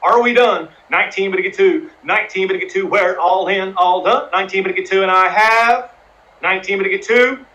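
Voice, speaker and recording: A man calling a live charity auction, chanting bids in a fast rhythm and coaxing bidders to raise again. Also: high voice, sharp tone, low-quality recording. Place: live streamed fundraising event.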